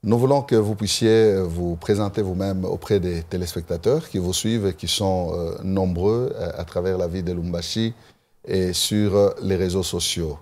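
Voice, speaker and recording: Deep-voiced pastor hosting a TV show. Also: male voice, steady tone, normal speed, darkish tone. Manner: formal, churchly phrasing. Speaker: talk show host. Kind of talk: opening prayer and interview questions. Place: television studio.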